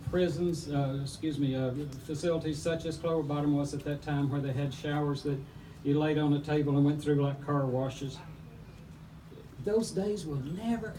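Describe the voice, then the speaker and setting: Older man, rambling tribute speech, higher voice, far off mic, noisy room. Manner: rambling, halting with restarts. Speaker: speaker at a birthday tribute. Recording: distant mic with loud event noise.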